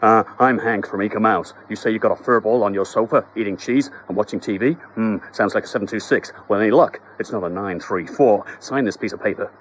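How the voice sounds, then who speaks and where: Guy doing a pushy pest control dude talking fast. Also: on the low side, slight background noise, lightly acted. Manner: hurried, brusque. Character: brash bald fat pest exterminator man.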